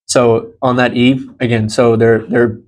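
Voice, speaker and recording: A man's voice: mid-range voice, little pitch movement, measured pace, darkish tone. Encouraging American man teaching a class. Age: 30s. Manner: encouraging, explanatory. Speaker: Spanish teacher. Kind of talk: informal group language lesson. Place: small indoor room beside a large monitor.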